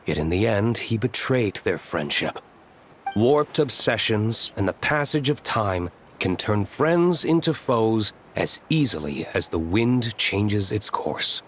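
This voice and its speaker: Cool nobleman, game drama, lowish, dry, formal, bitter, faint background noise. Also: expressive, slow, fairly bright tone. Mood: sceptical, bitter. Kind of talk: video game voice acting.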